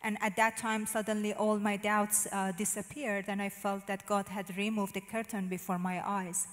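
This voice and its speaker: A woman in her thirties with a Persian accent speaks with a level, narrow melody, pausing often on "uh" as she searches for English words. She holds a handheld microphone on a church stage, and her voice trails into the long reverb of a large hall. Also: medium pitch, flat delivery, a bit bright. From Iran. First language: Farsi. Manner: hesitant, frequent "uh" fillers, non-native phrasing. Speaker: interview guest giving testimony. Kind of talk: personal testimony.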